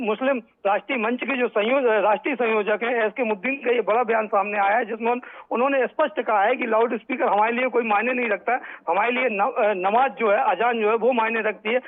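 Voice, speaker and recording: Male reporter phoning in, narrow tinny line, strikingly high voice, halting repetitive delivery. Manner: halting, repetitive, with many self-corrections. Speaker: news correspondent. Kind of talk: live unscripted news report. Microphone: phone call.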